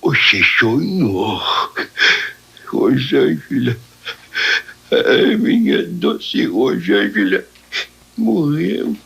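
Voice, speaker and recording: Radio drama old man: gentle, fretful, husky and quavering, slow with swooping pitch. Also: very animated, exaggerated voice. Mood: distressed, mournful. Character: gentle, troubled old man fretting over children.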